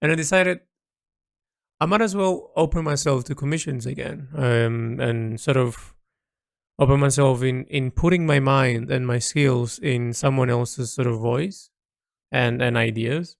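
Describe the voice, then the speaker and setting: A man talking casually and reflectively in a personal audio log, halting as he searches for words and repeats himself. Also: medium pitch, creaky, dry recording, clean studio sound. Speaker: creator recording an audio log.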